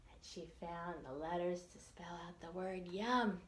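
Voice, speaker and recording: A woman reading a picture book aloud for young children, expressively and with deliberate enunciation. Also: lowish voice, steady tone, reverberant. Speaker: children's librarian storytime reader.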